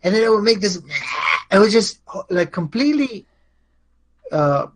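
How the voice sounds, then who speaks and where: A man's voice: high voice, expressive, normal speed. A man speaking as a guest on a live show, in casual chat, thinking aloud as he goes.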